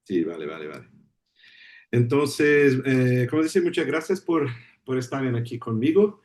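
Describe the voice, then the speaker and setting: A Brazilian man whose first language is Portuguese, opening a lecture by video call in friendly, halting Spanish full of repeated words. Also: medium pitch, expressive, darkish tone. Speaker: guest speaker. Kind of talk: informal talk opening a lecture.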